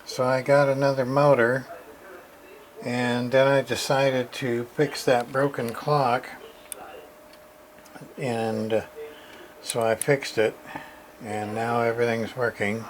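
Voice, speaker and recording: A man talks slowly through a hands-on repair demonstration in a mid-pitched voice. He is casual and unscripted, pausing to think aloud. Background noise is audible behind him. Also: flat delivery. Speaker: repair hobbyist showing his work.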